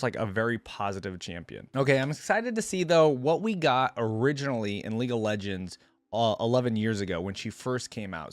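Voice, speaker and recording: Male reaction host: casual, thoughtful explainer, average pitch, dry sound. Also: dry recording. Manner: musing, explanatory.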